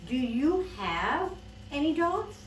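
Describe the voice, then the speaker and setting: A woman's voice: medium pitch, sing-song, slow pace, a little mellow, reverberant, distant mic, slight background noise. A woman voicing a stubborn, plain-spoken little girl in a storybook reading, firm and insistent. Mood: emphatic.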